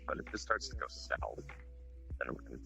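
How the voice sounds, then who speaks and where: A man's voice: rumbling low, big pitch swings, normal speed, creaky, over music, full character voice. A man voicing a weary guardian who talks hesitantly, sighs and apologizes, on a dull lo-fi mic. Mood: wary. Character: weary male guardian of the humans. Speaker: roleplay player. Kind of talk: in-character roleplay dialogue.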